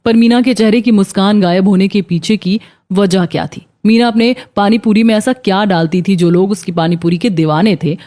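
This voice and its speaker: A woman's voice: mid-range voice, steady tone, moderate pace, warm tone. A woman narrating a scripted moral story as a cartoon storyteller. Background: faint background music.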